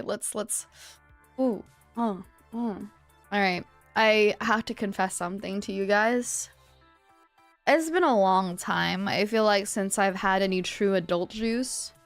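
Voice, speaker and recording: A woman livestreamer chatting casually with her viewers in a bubbly, chatty manner. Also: medium pitch. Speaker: livestreamer, virtual streamer host.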